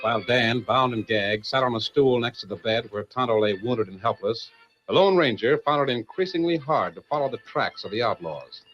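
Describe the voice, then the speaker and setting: A male narrator tells the story in a dramatic, declamatory vintage radio manner. His voice is medium in pitch, on a dull recording with prominent music behind. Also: expressive, normal speed. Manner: declamatory, suspenseful. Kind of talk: dramatic old-time radio scripted narration.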